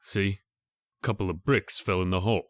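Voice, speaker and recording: A man's voice: deep voice, fairly even intonation, moderate pace, no room sound, studio quality, lightly acted. A man playing a tense, hot-tempered criminal in an old-time radio horror play, speaking tersely. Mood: wary. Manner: terse, urgent.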